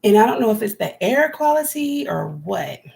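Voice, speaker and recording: Raspy chatty woman, gravelly voice. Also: on the high side, big pitch swings, normal speed, noisy background. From California. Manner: chatty, rambling, talks to viewers by name. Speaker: homesteading vlogger. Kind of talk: casual live-stream chat with viewers.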